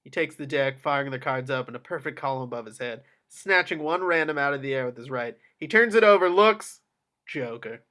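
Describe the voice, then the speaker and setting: A man's voice: mid-range voice, animated, a little fast, pushed and bright. A man narrating the action lines of a screenplay at a table read.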